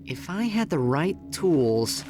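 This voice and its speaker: A man's voice: fairly high pitch, sing-song, slow pace, music in the background. A man voicing a boyish, wry, colloquial young space-adventure hero in a cartoonish video game. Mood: concerned.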